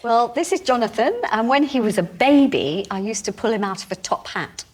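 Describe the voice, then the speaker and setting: A woman speaks as a guest on a comedy panel show. Her voice is husky yet fairly high, and it moves up and down in a lively way. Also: a little fast.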